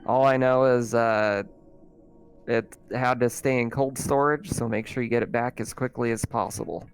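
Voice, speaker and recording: A man's voice: medium pitch, fairly even intonation, moderate pace, clear, resonant tone, soft background music, close to an everyday voice. A man playing a weary cargo ship captain in a tabletop game, talking in a casual, hesitant way. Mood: weary, matter-of-fact.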